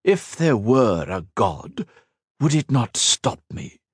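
A man's voice: medium pitch, sing-song, slow pace, husky, dry recording, clean studio sound, voice pushed up, full character voice. A man voicing Shinzan, an arrogant eternal being. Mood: disdainful. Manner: haughty, lofty, lecturing. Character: ancient arrogant male cosmic being, cold and contemptuous.

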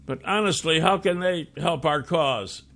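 Guy doing a curious sidekick dude in a fantasy audiobook, kinda high voice, good-natured and plain talking. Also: measured pace, vocal fry, a bit bright. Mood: friendly, inquisitive. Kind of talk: fantasy audiobook character dialogue.

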